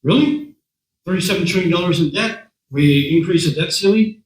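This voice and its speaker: A man with a hoarse voice speaking to a meeting as a guest speaker, talking in a conversational way, repeating words and often clearing his throat. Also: high voice, moderate pace, echoey room, slight background noise, rough recording. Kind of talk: talk to a meeting. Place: meeting room.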